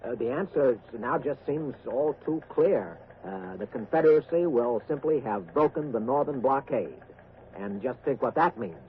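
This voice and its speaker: An actor playing an earnest war correspondent in a 1940s radio drama, grave and emphatic, with halting delivery. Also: male voice, medium pitch, expressive, lightly acted. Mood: urgent, emphatic. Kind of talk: 1940s radio acting as news commentary. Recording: tinny, noisy vintage radio recording.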